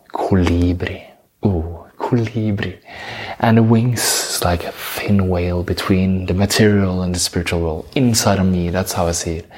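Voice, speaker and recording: Norwegian guy, lowish voice, slow spiritual musing, lots of pauses and restarts. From Norway. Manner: slow, searching, with many pauses and self-corrections. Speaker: spiritual speaker. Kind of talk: reflective spiritual talk.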